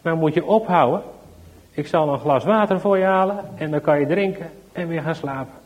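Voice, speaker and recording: A grown policeman from a storybook, stern and a little impatient, acted out by a man. He talks firmly in a fairly high voice and gives short orders. He sounds like an ordinary adult with an edge of irritation. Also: normal speed, darkish tone, natural voice.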